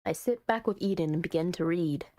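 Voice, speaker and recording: A woman doing let's play commentary on a visual novel, reading it aloud and chatting with expressive, playful asides. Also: on the low side, fairly quick, no echo. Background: soft music.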